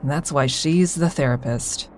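A woman's voice: low voice, moderate pace, a little mellow, slight background noise, close to an everyday voice. A woman playing a sardonic, anxious insomniac, confiding dryly as she narrates a first-person audio drama. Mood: wry, observant. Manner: wry, confiding, dry.

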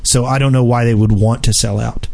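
A man's voice: mid-range voice, fairly even intonation, fairly quick, vocal fry, darkish tone. A man co-hosting a casual gaming podcast, giving firm, doubtful opinions. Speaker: podcast co-host.

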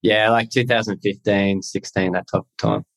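A man, answering interview questions in a measured, hesitant way with many fillers. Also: on the low side, steady tone. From Australia. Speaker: guest, crypto tax software founder. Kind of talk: casual interview.

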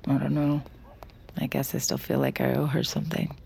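A woman's voice: extremely low pitch, flat delivery, fairly quick, vocal fry, a little mellow, slight background noise, full character voice. An actress playing a guilt-ridden, wistful young woman in an intimate audio drama, hesitant and murmuring. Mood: resigned. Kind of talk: intimate audio drama acting with hushed inner monologue.

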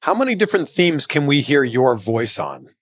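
A man's voice: fairly high pitch, big pitch swings, moderate pace. A man working as an interviewer, asking questions in a casual conversational style.

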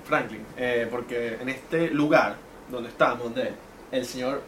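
A man's voice: mid-range voice, unhurried, fairly bright tone, slight background noise. A man with a Venezuelan accent hosting a podcast, talking in a playful, slangy way. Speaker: podcast host. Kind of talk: casual banter. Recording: echoey room.

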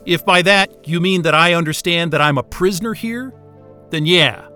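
A frustrated sarcastic station worker in an audio drama, sharp voice a bit on the high side, exasperated. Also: male voice, moderate pace, faint music behind. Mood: sullen, sarcastic. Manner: sardonic, exasperated, swearing. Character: frustrated, sarcastic man trapped at remote station. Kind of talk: naturalistic audio drama acting.

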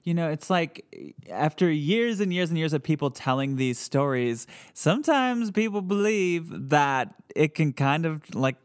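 A woman's voice: deep voice, animated, measured pace, few pauses. A woman hosting a paranormal podcast, casual and curious, encouraging her caller's story. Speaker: podcast host. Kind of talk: casual chat.

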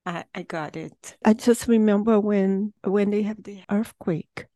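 A woman's voice: mid-range voice, measured pace, warm tone, no room sound, clean studio sound. A woman hosting a podcast interview, asking questions and giving short encouraging replies. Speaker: podcast host.

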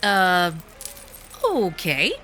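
A woman's voice: lowish voice, very animated, very slow, exaggerated voice. A woman playing a gushing, polished entertainment reporter doing an interview in a scripted audio drama. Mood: gushing, probing.

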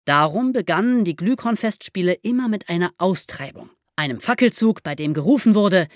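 A woman hosting a podcast, explaining history as lively storytelling in simple, conversational terms. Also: mid-range voice, fairly quick, no room sound, clean studio sound. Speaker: podcast host telling history stories.

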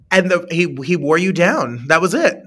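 A woman's voice: lowish voice, fairly bright tone, breathy edge. A woman in her late thirties hosting an interview, gushing with praise and often stumbling and restarting her sentences. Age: 30s. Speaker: interview host. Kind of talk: casual interview chat.

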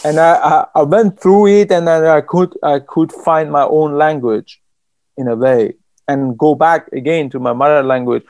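Guy from iran, persian is his first tongue, gravelly voice, lots of restarts, dry close sound. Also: fairly high pitch, a little mellow, no echo. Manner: thoughtful, halting, many restarts and fillers. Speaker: writer. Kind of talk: interview.

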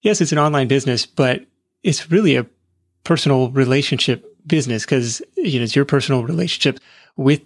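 A man's voice: medium pitch, a little fast, no room sound, studio quality. A man hosting an interview, summing up what he hears and asking thoughtful follow-up questions. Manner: thoughtful, summarizes and asks follow-ups. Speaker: podcast host.

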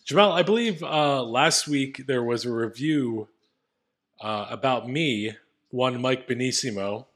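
A man's voice: mid-range voice, unhurried, a bit bright. Male podcast co-host, middle-register voice, casual comedic banter, joking and salty-tongued. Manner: profane, joking.

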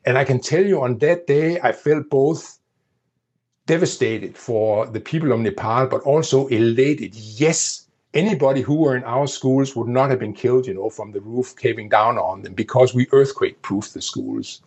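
Husky man with a Danish accent. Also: medium pitch, moderate pace, darkish tone. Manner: explanatory, with frequent restarts and "you know". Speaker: interview guest, former project planner. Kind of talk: conversational interview.

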